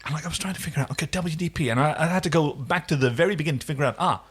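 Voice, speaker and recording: A man's voice: fairly high pitch, racing, slight background noise. A man co-hosting a podcast in casual, chatty, emphatic conversation. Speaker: podcast co-host. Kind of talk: casual chat.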